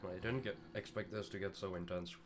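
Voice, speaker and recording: A man chatting casually on a live stream, hesitant and low-key, sounding a little sick. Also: fairly even intonation, brisk. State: still somewhat sick. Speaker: streamer, amateur voice actor.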